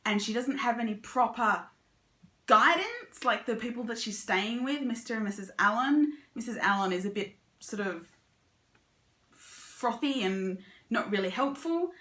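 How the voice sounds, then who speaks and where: A woman's voice: a little high, animated, measured pace, a bit bright, echoey room. A woman giving a chatty, casual book review as a vlogger. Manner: chatty, conversational.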